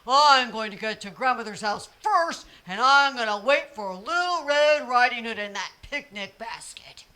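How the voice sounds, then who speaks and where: A woman's voice: fairly high pitch, animated, pushed and bright. A woman voicing a sly, sweet-talking male big bad wolf in a told fairy tale. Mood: scheming. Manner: slow, sly and mock-sweet.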